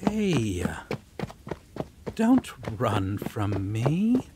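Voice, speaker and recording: A man voicing an ancient, possessive vampire in an ASMR roleplay, theatrically courteous and menacing, with breathy, drawn-out phrasing that slips into whispers. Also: mid-range voice, heavily acted. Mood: playful, menacing. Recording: steady rain and thunder noise behind.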